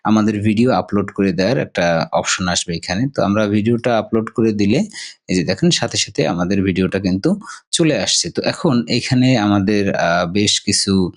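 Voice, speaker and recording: A man with a fairly low voice walks through software on screen. He explains casually, step by step, and often adds a short question to check that the listener follows. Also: moderate pace. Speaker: software tutorial host. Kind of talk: casual screen-share tutorial.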